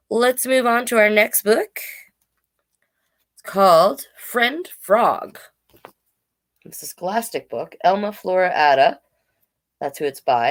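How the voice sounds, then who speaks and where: A woman hosting a storytime, reading picture books aloud in an informal way with chatty asides. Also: low-pitched. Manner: informal, interjects comments while reading. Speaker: drag king storyteller, host.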